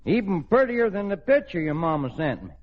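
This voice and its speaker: A man's voice: sits high, sing-song, a little fast. An actor playing a folksy, plain-spoken Texan oil man of few words in a radio comedy. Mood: friendly, folksy. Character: folksy, laconic Houston oil man courting a widow. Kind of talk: 1940s-50s radio comedy acting. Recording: dull old radio recording, studio audience, hiss.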